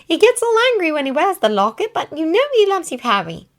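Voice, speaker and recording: A woman voicing an earnest, anxious teenage witch who speaks in a high, pleading and insistent way. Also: very animated, a little fast.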